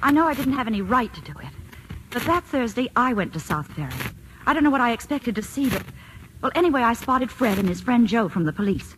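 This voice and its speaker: A woman's voice: a little high, expressive, fast talker, a bit bright, low-quality recording. An actress playing an anxious, earnest married woman warning an old friend, in a hesitant, confiding 1940s radio drama style. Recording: hissy vintage radio broadcast.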